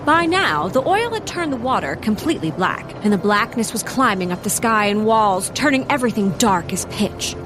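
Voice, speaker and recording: A woman's voice: medium pitch, big pitch swings, moderate pace, few pauses, background noise, natural voice. A woman narrating a scripted comedy audio drama in a wry, playful storytelling manner. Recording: music behind the voice.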